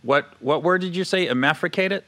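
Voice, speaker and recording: A man's voice: a little high, fairly quick, bright, forceful tone. A man hosting a casual, joking interview in a teasing, colloquial way. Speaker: interviewer, show host.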